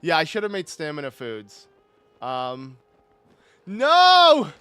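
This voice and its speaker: A man's voice: fairly high pitch, wildly swooping pitch, takes it slowly, bright, forceful tone, smooth, dry recording. A young man casually commenting on a live game stream, rambling and correcting himself with little chuckles into a desk microphone.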